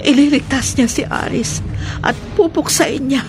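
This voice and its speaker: An actress playing a devout, worried middle-aged aunt in a horror radio drama, earnest and solemn. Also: female voice, high-pitched, moderate pace, loud music behind the voice, natural voice. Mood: earnest, hopeful.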